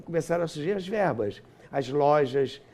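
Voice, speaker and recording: Male guest, late seventies, Brazilian. Mellow voice with very lively intonation, emphatic storyteller in conversation. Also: fairly high pitch, normal speed. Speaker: interview guest, radio veteran and author. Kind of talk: conversational reminiscing interview.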